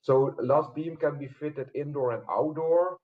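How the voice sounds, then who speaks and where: A man giving a webinar product presentation in a halting, explanatory way, with frequent hesitations. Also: fairly high pitch, steady tone. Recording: muffled phone-like webinar audio.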